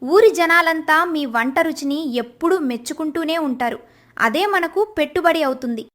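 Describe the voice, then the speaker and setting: A woman playing a devoted farmer's wife, high-voiced. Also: expressive, normal speed, a bit bright. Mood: hopeful. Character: poor farmer's devoted wife, gentle but resolute.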